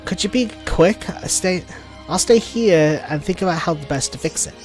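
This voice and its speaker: A man's voice: high-pitched, moderate pace, darkish tone, soft background music, close to an everyday voice. A man reading game dialogue aloud as a flustered, embarrassed handy villager, fretful and pleading.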